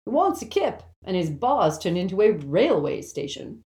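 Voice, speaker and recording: A woman's voice: mid-range voice, big pitch swings, normal speed, warm tone, roomy sound, lightly acted. A woman voicing a jaunty, irreverent teenage boy prankster in an audiobook, with a flippant, breezy manner. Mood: wry. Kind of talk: audiobook character voice.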